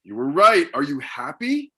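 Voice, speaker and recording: A man's voice: a little high, very animated, normal speed, bright, forceful tone, breathy edge, full character voice. A man playing an exasperated middle-aged dad with a dry, sarcastic manner in a comedy table read.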